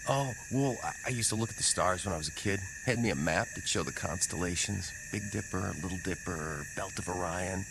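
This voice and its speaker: A jittery young private. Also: male voice, low-pitched, fairly quick, creaky, hardly any pauses, background noise. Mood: rambling. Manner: jittery, folksy rambling. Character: nervous young private on night watch. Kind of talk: classic radio drama acting.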